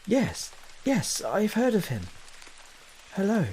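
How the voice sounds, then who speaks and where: An actor playing a flustered, apologetic young gentleman in an audio drama, with a hesitant, polite way of speaking. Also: sits high, very animated, slow, a little hiss or hum, full character voice, poor audio. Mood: politely surprised.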